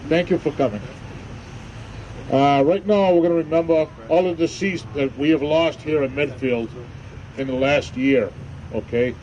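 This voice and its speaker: Male ceremony emcee, unhurried and plain-spoken, higher-pitched, dull outdoor sound. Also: fairly even intonation, darkish tone. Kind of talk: ceremonial name reading and announcements. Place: outdoor evening ceremony in a park. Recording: muffled, faint outdoor hum and traffic noise.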